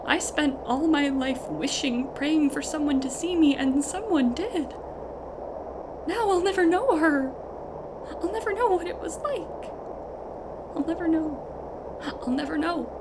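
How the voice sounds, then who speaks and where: A woman's voice: high-pitched, a little slow, long pauses. A woman playing an anguished, lonely figure in an audio drama, lamenting in a theatrical, repetitive way. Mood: grieving. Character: anguished, lonely woman mourning a wasted life. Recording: clear background noise and ambience.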